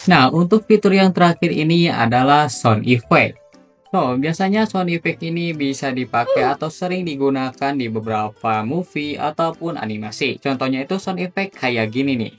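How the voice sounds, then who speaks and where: A man hosts a tutorial in a casual narrating style. His voice sits at a middle pitch. Music runs behind him. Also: animated, normal speed. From Indonesia, Sunda region. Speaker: tutorial host. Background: background music.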